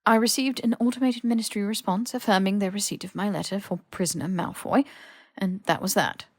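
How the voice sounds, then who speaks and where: A woman's voice: mid-range voice, fairly even intonation, brisk, no room sound, clean studio sound, close to an everyday voice. A woman voicing a haughty, snippy young male wizard with sneering, clipped, posh phrasing in an audiobook. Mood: dry. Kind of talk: audiobook character voice.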